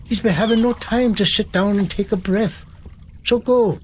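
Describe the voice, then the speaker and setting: A man's voice: sits high, steady tone, moderate pace, a little hiss or hum, lightly acted. An actor playing an old seaman who tells tales in a folksy dialect and grumbles about the young. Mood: grumbling. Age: older. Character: old male sailor storyteller, grumbling.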